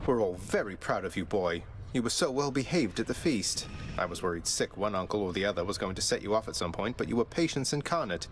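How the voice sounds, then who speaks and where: An actor playing a rebellious young prince of the underworld in a video game, dry and gently sarcastic but affectionate. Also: male voice, fairly low pitch, animated, fast, few pauses, a little hiss or hum, lightly acted.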